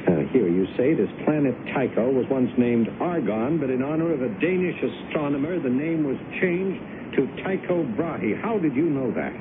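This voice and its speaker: An actor in a radio drama playing a menacing time traveler from another planet who poses as human, deliberate, accusing and coldly insistent. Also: male voice, animated, lightly acted. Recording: muffled lo-fi old radio broadcast, rumbling hiss.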